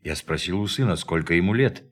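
An observant crewman with a deep voice. He reports to his superior soberly and precisely, in radio-drama style. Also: animated, fairly quick. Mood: serious, puzzled. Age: 40s. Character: sober, observant male spaceship crewman in forties. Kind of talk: Russian radio play acting.

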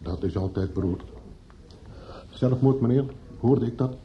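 A man's voice: mid-range voice, unhurried, lightly acted. An actor playing an obliging, down-to-earth male barkeeper in a radio play, speaking plainly and with concern. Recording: dull, noisy old radio play recording.

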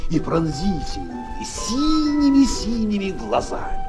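An actor playing a lively storyteller, narrating a dramatised children's storybook. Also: male voice, high-pitched, measured pace, music in the background, full character voice. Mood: expressive storytelling.

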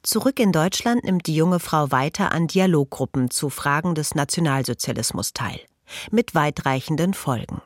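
A woman narrates a radio documentary from a script in a measured, factual manner. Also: low voice, animated, no echo, studio quality. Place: radio studio.

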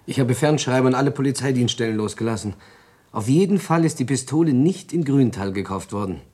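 A confident police assistant with a medium-pitched voice. He reports briskly, in the style of a radio crime drama. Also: expressive, darkish tone, slightly rough.

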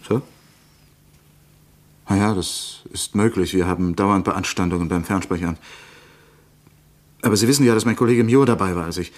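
An actor playing a defensive husband under police questioning in a radio crime drama, halting and evasive. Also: male voice, deep voice. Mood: indignant.

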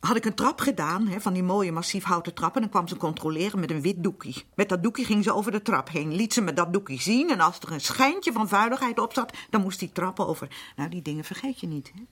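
Older working class mum in a radio drama, husky, kinda low, rambling and plaintive, confiding. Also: female voice, animated, brisk, hardly any pauses, a bit bright. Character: worn-down, chatty older working-class housewife and mother. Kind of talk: naturalistic Dutch radio drama acting.

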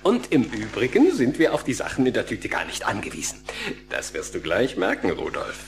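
A man playing a calm, kindly psychologist in a German children's radio play, speaking in a measured, reassuring and gently firm way. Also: fairly low pitch, creaky, background noise, full character voice. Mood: mildly reproachful.

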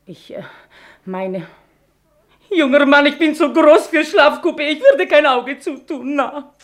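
A woman's voice: high voice, expressive, a little slow. Fussy lady traveller. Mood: fussy, pleading. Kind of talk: radio drama acting.

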